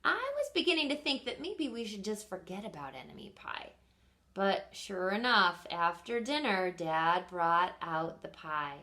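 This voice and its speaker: A woman reading a picture book aloud expressively as a teacher does for children, pausing to ask simple questions. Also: mid-range voice, big pitch swings, sharp tone. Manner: pauses to quiz listeners with simple questions.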